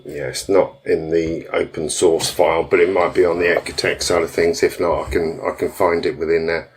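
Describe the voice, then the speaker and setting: A man's voice: low voice, little pitch movement, normal speed. A man hosting a live stream and answering viewer questions in a casual, rambling way, full of fillers and restarts. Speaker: tuning shop host answering viewer questions.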